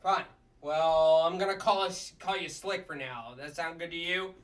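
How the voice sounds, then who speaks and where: A man's voice: on the high side, normal speed, a bit bright, far from the mic, natural voice. A man playing a short-tempered, bossy puppet district attorney in a sitcom table read, blustering and tripping over his words. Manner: blustering, stumbles and repeats words.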